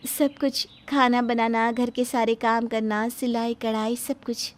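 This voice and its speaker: A woman's voice: a little high, level tone, a little slow, lightly acted. A woman voicing a coy, sweetly agreeable young bride in a story, with a shy manner. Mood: shy, eager.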